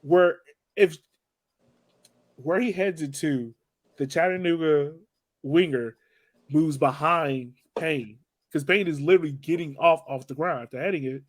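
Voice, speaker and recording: Male podcast host, casual post-game sports chat, higher register, very dry recording. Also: measured pace, pauses often, no room sound. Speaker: podcast host moderating a soccer discussion.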